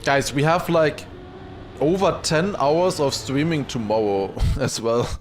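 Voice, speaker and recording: A man livestreaming excited esports commentary mixed with casual chat, raising his voice to a shout at big moments. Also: fairly high pitch, slow pace, a little hiss or hum. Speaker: esports watchparty streamer and commentator.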